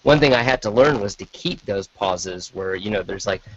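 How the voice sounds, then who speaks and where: Husky self-deprecating man, lo-fi audio. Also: fairly low pitch, normal speed. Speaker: podcaster, panel guest. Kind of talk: casual chat. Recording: dull, lo-fi call audio.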